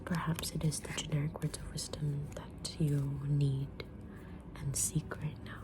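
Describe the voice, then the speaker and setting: Slow, whispering woman tarot reader. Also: sits low, level tone. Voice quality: breathy unvoiced whisper. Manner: gentle, soothing, unhurried fortune-teller delivery. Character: kind mysterious witch reading tarot. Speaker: ASMR creator playing a tarot reader. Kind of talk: whispered ASMR roleplay.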